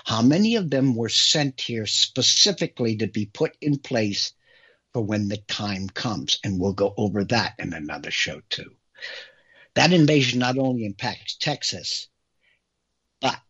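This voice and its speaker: An American man hosts a political talk show in a husky, unclear voice. He speaks emphatically to his listeners, posing rhetorical questions and pausing for weight. The audio is slightly dull and lo-fi. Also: on the low side, fairly bright tone. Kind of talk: opinionated monologue to listeners.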